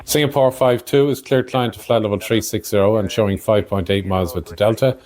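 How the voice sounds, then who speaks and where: A man giving a measured, technical explanatory narration of air traffic control as a podcast narrator. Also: fairly low pitch, fairly even intonation, darkish tone.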